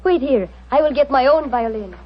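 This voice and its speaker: A mysterious fortune-telling woman in a 1940s radio play. Her voice is fairly high and a little husky, proud and wistful, with solemn, deliberate phrasing. The old recording is dull and muffled. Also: animated.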